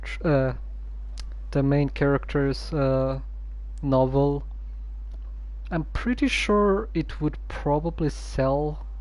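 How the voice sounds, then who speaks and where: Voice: mid-range voice, dark tone. A streamer giving casual, hesitant commentary on a game story, stopping and restarting often. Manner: halting, hesitant, many fillers and restarts.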